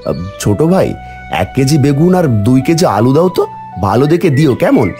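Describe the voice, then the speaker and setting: A man's voice: medium pitch, sing-song, moderate pace, mellow, soft background music, lightly acted. A man voicing a poor, honest, devout village farmer in a cartoon, speaking earnestly and emphatically. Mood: friendly, hopeful. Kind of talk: cartoon voice acting.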